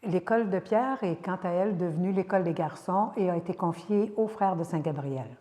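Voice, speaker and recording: An older woman with a Quebec French accent, narrating local history in a measured, careful way with occasional hesitations. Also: fairly low pitch, dark tone, long reverb tail.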